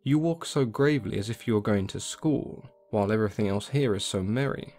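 A man voicing a crafty, hungry wolf who speaks in a slow, coaxing drawl. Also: fairly low pitch, a little mellow, faint music behind, natural voice. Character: sly hungry male wolf, crafty and smooth.

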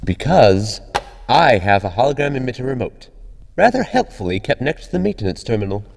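A man's voice: medium pitch, very animated, brisk, gravelly, dry recording, soft background music. An actor playing a logical, fussy male robot crewmate in a sci-fi comedy audio drama, speaking precisely and formally. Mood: matter-of-fact.

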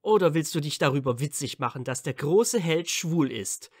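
A man playing a defensive, cheeky young wizard with a quick temper in a fan audio drama. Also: a little high, expressive, a little mellow, slightly rough, dry recording, clean studio sound. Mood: defensive, angry. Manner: snappy, teasing.

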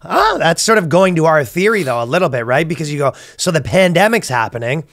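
A man's voice: a little high, sing-song, a little fast, fairly bright tone, dry recording, studio quality. A man in his thirties hosting a comedy podcast, riffing casually and profanely and acting out imagined dialogue. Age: 30s. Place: small podcast studio. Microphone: close studio mic on boom arm.

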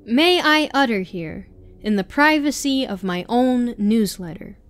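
Female reader, fairly high voice, very slow deliberate article narration over clear background music. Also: lively intonation. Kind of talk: reading an article aloud.

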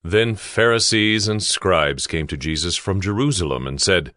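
A man's voice: sits low, lively intonation, normal speed, pushed and bright, no room sound, studio quality. A man narrating scripture from a script.

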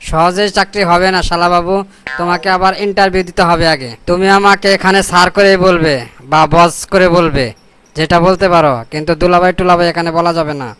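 Man voicing a pompous, scheming Bangladeshi cartoon boss, high-pitched. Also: fairly even intonation, a little fast, a bit bright, smooth. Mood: pompous, bossy. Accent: Bangladeshi colloquial. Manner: pompous, mock-formal, sly. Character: scheming, self-important corrupt boss, older brother-in-law. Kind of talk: comic cartoon voice acting in Bangla.

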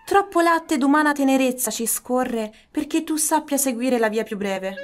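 An actress playing an ambitious, ruthless noblewoman in a Shakespearean radio drama, solemn and declamatory. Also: fairly high pitch.

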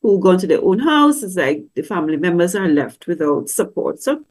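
A woman's voice: on the low side, sing-song, moderate pace, a little mellow. Questioning woman teaching. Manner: conversational, asks many questions, acts out quoted voices. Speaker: grief counselor teaching at a church service. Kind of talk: teaching talk with slides. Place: indoor room. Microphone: webcam on a video call.

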